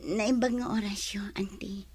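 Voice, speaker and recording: A woman playing a shy, anxious young woman in an audio drama, hesitant and soft-spoken. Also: mid-range voice, steady tone, measured pace, breathy edge, no room sound. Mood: shy, worried. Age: young adult.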